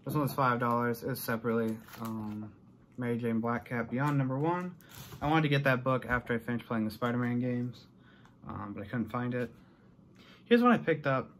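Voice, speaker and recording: A young American man talks casually to the camera in a medium-pitched voice. He rambles a little and lets thoughts trail off, like an unscripted haul video. It was recorded in a small room at arm's length, with clear noise behind him. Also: moderate pace. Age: young adult. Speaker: vlogger showing a collection haul. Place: small bedroom, indoors.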